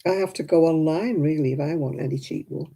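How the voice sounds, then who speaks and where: A woman in her seventies hosting a crafting livestream, chatting homely and warmly with viewers by name and laughing often. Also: sits low, fast, vocal fry, breathy edge. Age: 70s. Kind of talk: casual chat reading out viewer comments.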